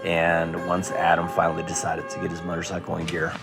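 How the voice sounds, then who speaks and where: A man with a lowish voice narrates a motorcycle travel vlog in an easygoing, conversational way. Loud music plays under his voice throughout. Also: monotone, a little fast, gravelly. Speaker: host. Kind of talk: vlog narration and to-camera talk.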